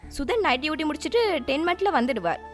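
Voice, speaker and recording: An actress with a Sri Lankan Tamil accent plays a busy young translator in a radio drama, brisk and hurried. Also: female voice, high-pitched, sing-song, soft background music.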